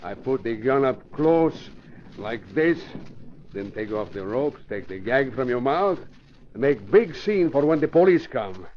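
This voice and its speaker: An actor playing a theatrical Mexican hired killer with a Spanish accent and broken English, menacing but cowardly, in 1940s radio crime drama. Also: male voice, mid-range voice, animated, unhurried, lightly acted. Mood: gloating, menacing. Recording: muffled, noisy vintage radio recording.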